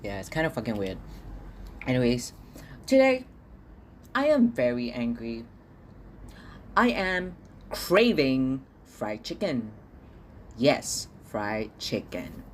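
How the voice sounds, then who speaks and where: A man's voice: medium pitch, big pitch swings, slow pace, pauses often, slight background noise. A young man from Singapore, talking alone in a casual podcast diary at home, ranting and swearing with stammered repeats. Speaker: podcast host.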